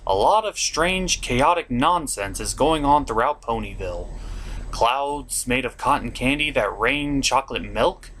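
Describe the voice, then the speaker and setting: A man's voice: on the high side, a bit bright, a little hiss or hum. A man hosting a comedic review, narrating from a script with sarcastic, mock-exasperated asides. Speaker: host of a comedic cartoon review show.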